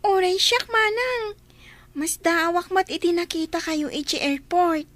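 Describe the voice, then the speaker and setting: A woman's voice: extremely high pitch, lively intonation, a little slow, fairly bright tone, dry recording, full character voice. A woman playing a sweet, polite, eager young girl in an Ilocano drama. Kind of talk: Ilocano radio-style drama acting.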